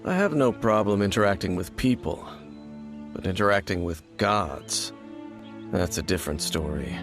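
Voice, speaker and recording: An actor playing an aloof, brooding warrior in a video game, speaking in a grave, measured, guarded way over music. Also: male voice, low-pitched, lively intonation. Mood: aloof warning. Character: brooding, aloof male warrior with ancient grudges. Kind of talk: video game dramatic acting.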